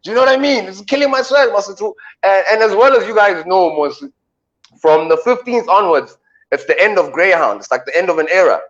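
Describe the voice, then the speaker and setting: A South African man with a deep voice, a radio co-host doing playful comic banter with drawn-out punchlines. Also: expressive, a bit bright, highs cut off.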